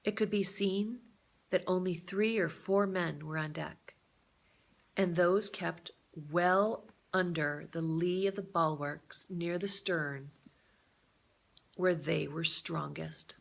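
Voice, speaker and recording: A woman reading a novel aloud as a storyteller, with a deliberate, dramatic and expressive delivery. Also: fairly low pitch, gravelly.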